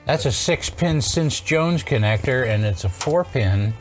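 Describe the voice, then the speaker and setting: Guy doing casual repair talk, kinda dry and teasing, thinks out loud, faint music behind. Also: mid-range voice, animated, unhurried. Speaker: vintage audio repair technician. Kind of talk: casual hands-on repair chat, bantering.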